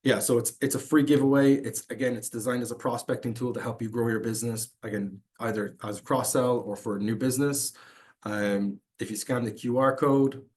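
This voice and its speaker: A man giving a vendor pitch presentation over a video call, quick and filler-laden. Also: steady tone, darkish tone.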